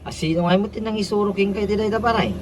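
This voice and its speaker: A man's voice: high-pitched, fast, heavy background noise, lightly acted. A Filipino man with an Ilocano accent playing a chummy office buddy in a radio comedy drama. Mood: nagging, curious.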